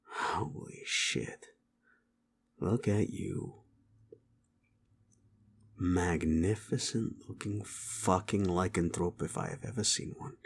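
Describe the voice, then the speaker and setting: Gravelly, low-voiced gruff werewolf played by a man. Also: full character voice. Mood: impressed, proud. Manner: blunt, coarse, halting, coaxing. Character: gruff, cocky male werewolf turning protective of partner. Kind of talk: intimate audio roleplay acting.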